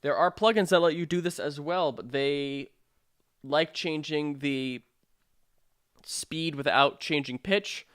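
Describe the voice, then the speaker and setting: A man narrating a software tutorial in an informal, explanatory way with hesitant phrasing. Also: fairly high pitch, takes it slowly, clear, resonant tone, dry recording, studio quality. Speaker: sound design tutorial presenter.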